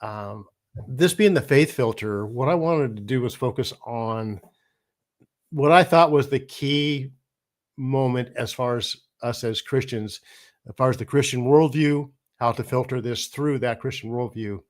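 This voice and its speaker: Hoarse man hosting with a cold. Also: medium pitch. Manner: halting, pauses and restarts with many fillers. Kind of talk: casual spoken commentary.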